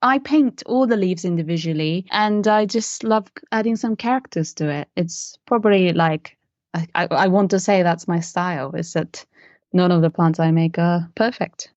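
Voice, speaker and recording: Low-voiced woman, muffled audio. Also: moderate pace, darkish tone, no echo. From Japan. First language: Japanese. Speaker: interview guest, miniature artist. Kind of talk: casual interview chat.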